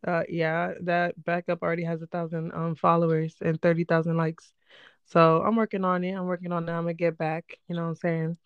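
A young woman with a slightly hoarse but smooth voice, recorded close and dry with a slightly muffled, dull tone. She chats casually and quickly, playful and a little defensive, like she's arguing with her brother. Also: lowish voice, little pitch movement, dry recording. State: under the weather, slightly hoarse. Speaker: podcast co-host. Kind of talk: casual sibling podcast chat. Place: apart from her co-host, remote recording.

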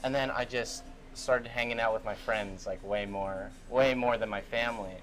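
A young man of middling pitch chats his way through an interview, rambling, trailing off and laughing at himself. He talks casually, piling on fillers, with faint music somewhere behind him. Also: steady tone, moderate pace, a bit bright, rough recording. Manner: rambling and self-deprecating, laughs often, lots of fillers. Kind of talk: casual chat. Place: on a cruise ship.

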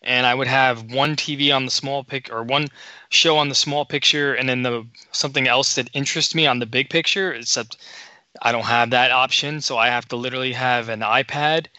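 A man co-hosting a casual podcast, with a very bright and sharp voice. He talks haltingly, repeating words as he goes. The sound is dry and close, with a dull, lo-fi tone. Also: mid-range voice, steady tone, dry recording. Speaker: podcast co-host.

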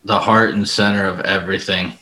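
A man from Orlando with a low but bright voice on a video call. He laughs a lot and grumbles in big, exaggerated terms. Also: fairly even intonation, fairly quick, a little hiss or hum, poor audio. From Orlando, Florida. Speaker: podcast co-host. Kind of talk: casual interview chat.

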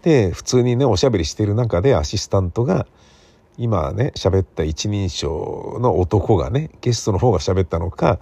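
A man with a low, creaky voice talks casually by himself, thinking aloud. His tone is husky and rough, and he rambles, correcting himself as he goes and tagging phrases as if seeking agreement. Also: expressive, normal speed, warm tone, dry recording. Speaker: radio drama writer and theatre playwright.

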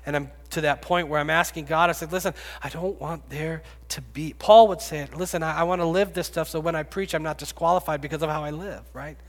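A man in his fifties preaching a sermon, rising to loud pleading and dropping to hushed whispers. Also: on the high side, steady tone, a little fast, few pauses. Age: 50s. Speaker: pastor. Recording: long reverb, echoey hall.

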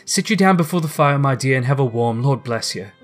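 A man's voice: mid-range voice, lively intonation, a little fast, faint music behind, close to an everyday voice. Storybook working-class mother, voiced by a man: affectionate, fussing, homely, bustling.

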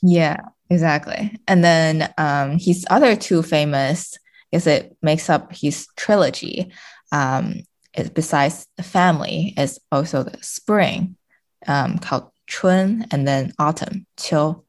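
A woman's voice: deep voice, takes it slowly. A woman speaking as a podcast guest in a casual literary discussion, feeling hesitantly for her words.

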